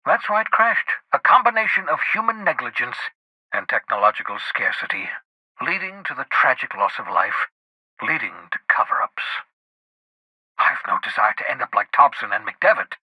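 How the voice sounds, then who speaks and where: An actor voicing an eccentric, erudite older renegade scientist in a video game, jittery and sardonic, with theatrical, wordy, precise delivery. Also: male voice, mid-range voice, very animated, moderate pace, pushed and bright, breathy edge, no room sound, exaggerated voice, rough recording. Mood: grave, indignant. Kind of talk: video game character dialogue.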